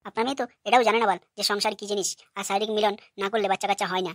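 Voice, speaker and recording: A woman's voice: medium pitch, fairly even intonation, very fast, no echo, studio quality, natural voice. A woman voicing a hot-tempered, aggrieved young son-in-law who rants brashly in a cartoon comedy. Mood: angry, ranting. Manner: brash, ranting.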